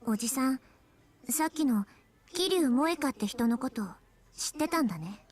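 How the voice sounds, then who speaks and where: An actress playing a frank, watchful young female soldier in an anime visual novel, speaking in a brisk, direct way. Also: high-pitched, animated. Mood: probing, wary.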